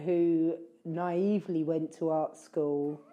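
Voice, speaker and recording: A woman's voice: low voice, fairly even intonation, takes it slowly, warm tone. Rambling, reflective woman chatting. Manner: rambling, self-correcting, reflective. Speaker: podcast host. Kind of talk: casual chat.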